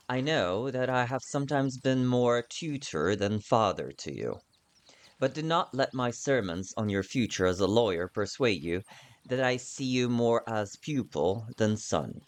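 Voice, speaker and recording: A man narrating a game playthrough, switching between casual commentary and reading in-game text aloud. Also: medium pitch. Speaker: gamer narrating own playthrough.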